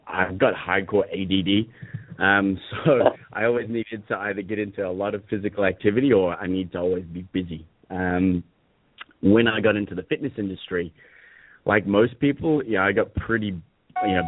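A man's voice: sits low, dry recording. A man with an Australian accent that has some American and South African mixed in, a fitness business coach chatting as a guest and laughing easily. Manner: relaxed, laughs easily, many fillers. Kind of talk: casual interview chat. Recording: dry, tinny phone-like line.

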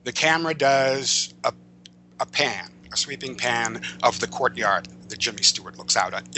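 A man with a strikingly bright, forceful voice talks as a writer being interviewed. He explains in an emphatic, halting, wandering way. A faint noise and hum sit under the recording. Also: medium pitch. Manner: digressive, emphatic, halting delivery. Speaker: interview guest, comic book and screen writer. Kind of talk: conversational explanation of film and comics craft.

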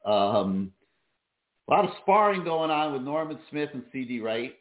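A man hosting a sports call-in show, talking casually with many fillers, his voice going hoarse. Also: mid-range voice, normal speed, reverberant, phone-quality sound. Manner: relaxed, many fillers and restarts. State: losing his voice. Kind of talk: casual call-in radio talk.

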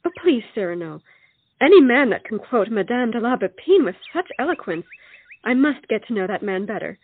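A woman acts a bold young colonial lady in a period comedy. Her voice is fairly high and husky, with a forthright, theatrical, poetic delivery. The recording is muffled. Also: big pitch swings, a little fast. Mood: eager, charmed. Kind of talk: theatrical comedy audio-play acting.

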